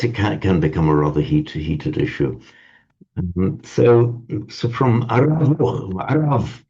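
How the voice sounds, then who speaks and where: A man teaching a Sanskrit class in a relaxed lecture manner, often stammering and restarting words. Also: deep voice, gravelly, slightly rough. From parents from Ireland. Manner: hesitant, repeats and restarts words. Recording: dull lo-fi sound.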